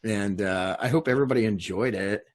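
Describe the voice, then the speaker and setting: Halting, casual man hosting a discussion. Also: lowish voice. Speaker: host and writer of a table read. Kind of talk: casual video call discussion. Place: remote group video call.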